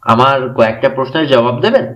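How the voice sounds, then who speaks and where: A man's voice: mid-range voice, lively intonation, fairly quick, fairly bright tone, slight background noise, lightly acted. A man voicing a curious, polite teenage boy detective in an audiobook, asking questions courteously. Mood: inquisitive. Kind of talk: audiobook character dialogue.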